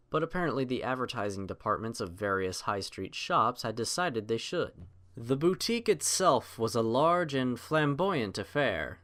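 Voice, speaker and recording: A man reading scripted fiction as a narrator, in a measured, literary storytelling manner. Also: medium pitch, a bit bright.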